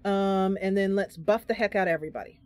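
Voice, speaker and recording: A woman's voice: medium pitch, quick pace, darkish tone. A woman streaming a game, casually commentating live and thinking aloud as she chats with her viewers.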